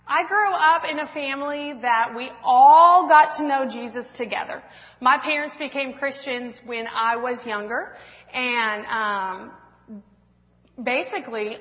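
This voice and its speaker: Woman in her 30s, church testimony speaker, rambling and self-mocking, muffled lo-fi hall sound. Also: fairly high pitch. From Washington State, US West Coast. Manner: self-deprecating, laughing often, rambling with fillers. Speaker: speaker at a church women's event. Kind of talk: personal testimony talk with slides.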